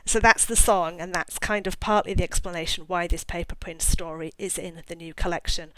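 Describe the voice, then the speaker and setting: A woman's voice: lowish voice, steady tone, fairly quick, a bit bright, no room sound, low-quality recording. A middle-aged woman talking casually as an author about her own work, in a chatty, rambling way with self-mocking asides. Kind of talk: casual talk to camera.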